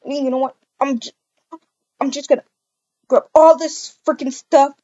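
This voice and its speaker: A woman's voice: high voice, fairly even intonation, moderate pace, mellow, muffled recording, natural voice. A woman playing a hurt, indignant young mother in an amateur video game roleplay, speaking emphatically and repeating herself. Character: hurt, furious young mother kicking out cheating partner.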